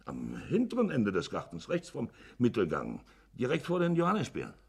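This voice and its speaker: Shifty village innkeeper, gravelly and husky. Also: male voice, mid-range voice, huge pitch swings, normal speed, full character voice. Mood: evasive, ingratiating. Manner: hesitant, wordy, self-justifying pleading. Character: shifty, smooth-talking village innkeeper hiding a crime. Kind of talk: German radio play acting.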